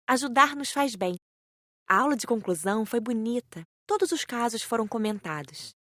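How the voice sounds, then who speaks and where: A woman's voice: on the high side, animated, moderate pace, fairly bright tone, no echo, studio quality. A woman reading scripted first-person audiobook narration in a composed storytelling manner.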